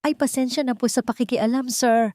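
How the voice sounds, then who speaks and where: A woman's voice: a little high, fairly even intonation, fairly quick, mellow, no echo, studio quality, pitched above the performer's own voice, lightly acted. Woman voicing a bashful, clever young Filipino male mechanic. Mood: apologetic, curious. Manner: deferential, hesitant.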